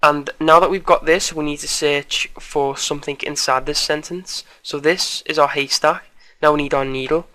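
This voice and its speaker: A man with a fairly high, bright voice presents a casual screencast tutorial. His delivery stays quite monotone, informal and a bit hesitant, with a slight croak left over from a cold. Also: moderate pace.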